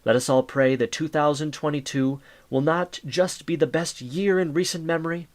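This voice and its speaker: A man narrating a holiday audio drama as a kindly storyteller, in a measured, grandiose, theatrical way. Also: fairly high pitch, steady tone, lightly acted. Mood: sincere address.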